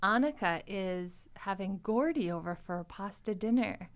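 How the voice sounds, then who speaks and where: Female podcast host, mid-pitched, casual and animated, recapping stories in a relaxed group conversation. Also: unhurried. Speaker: podcast host discussing an improvised audio show.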